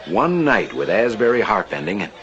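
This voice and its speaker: An actor playing a self-assured, wily banker with playful showmanship, American accent, in a mid-century television western. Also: male voice, on the low side, big pitch swings, normal speed, a bit bright, highs cut off. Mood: confident.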